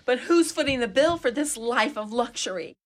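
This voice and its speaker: A woman acts out an imperious wealthy dowager in a comedy radio play. Her voice is fairly high, with a sharp tongue and an indignant, haughty manner. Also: animated, normal speed, lightly acted.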